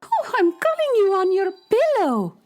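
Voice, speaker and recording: A woman's voice: very high voice, huge pitch swings, measured pace, a little mellow, full character voice. A woman playing a small, bubbly female fairy with a sing-song delivery in a children's audio drama. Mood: chirpy, friendly. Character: small chirpy female fairy calling for help.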